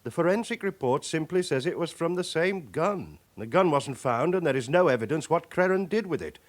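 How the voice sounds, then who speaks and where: An actor playing a cautious, middle-aged British police chief inspector in a radio drama, formal and measured. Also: male voice, on the high side, expressive, lightly acted. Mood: stern, measured. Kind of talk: radio crime drama acting.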